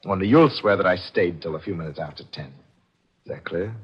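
An actor playing a cold, calculating, gloating killer in a 1940s radio mystery, with a theatrical, deliberate, menacing delivery. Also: male voice, deep voice, husky. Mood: smooth scheming. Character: cold, calculating, gloating male murderer and schemer. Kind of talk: 1940s radio mystery acting, with first-person narration. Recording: muffled old radio broadcast with music.

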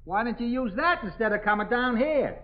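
An actor playing a steady, reassuring police sergeant who calls out plain questions in 1950s radio drama style. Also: male voice, very high-pitched, fairly quick. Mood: patient, questioning. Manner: raised voice, plain-spoken questioning. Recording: dull 1950s radio recording.

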